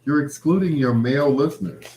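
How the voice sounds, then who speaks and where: A man's voice: on the high side, fairly quick, warm tone. A man hosting a late-night show, talking in a casual, rambling, conversational monologue with anecdotes. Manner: conversational, anecdotal, quoting past exchanges. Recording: roomy, echoing sound.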